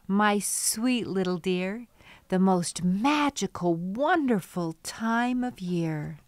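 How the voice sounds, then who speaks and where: Slow-paced woman reading to young children. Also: medium pitch, clear, resonant tone, no room sound. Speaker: children's storytime host. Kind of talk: read-aloud storytelling for young children.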